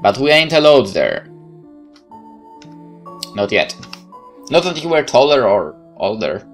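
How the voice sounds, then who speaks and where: A let's player with a medium-pitched voice reads a visual novel aloud over faint music. The delivery is casual and hesitant, often breaking off to think out loud about the story. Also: sing-song, takes it slowly. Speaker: gaming video host. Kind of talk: casual let's play commentary, reading game text aloud. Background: game music.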